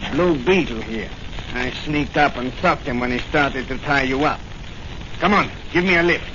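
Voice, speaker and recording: An actor playing a smug, rough gangster henchman in 1940s radio serial style. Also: medium pitch, normal speed, lightly acted. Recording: muffled, very noisy old radio recording.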